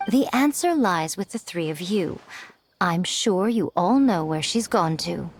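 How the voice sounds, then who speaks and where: A woman's voice: fairly low pitch, animated, a little slow. A woman voicing a sly, elegant, self-assured fox lady in a video game, teasing and condescending with poised phrasing. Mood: knowing, coaxing.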